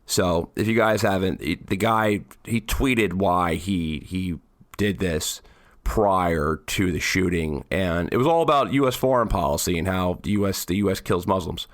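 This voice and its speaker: A man co-hosting a podcast in a casual political chat, hoarse and raspy from the flu, rambling and restarting his sentences. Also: deep voice, unhurried, no echo. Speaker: podcast co-host.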